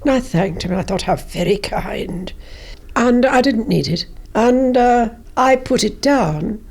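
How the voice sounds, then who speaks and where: An older woman, a former politician, reminiscing in an interview in a measured, anecdotal way. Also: on the high side, expressive, vocal fry, a little mellow, slightly rough.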